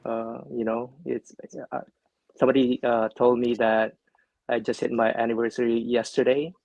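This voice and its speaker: A man's voice: medium pitch, level tone, normal speed, gravelly, a little mellow, muffled recording. A man from the Philippines, a retired Navy veteran, talking casually with many fillers and restarts. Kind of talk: casual livestream chat.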